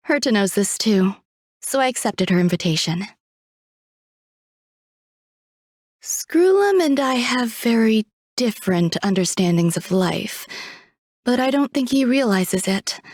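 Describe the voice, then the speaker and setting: A woman voicing a refined, coolly detached genius scientist in a video game, speaking in a composed, measured, poetic way. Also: a little high, darkish tone, dry recording, studio quality. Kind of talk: video game character voice lines.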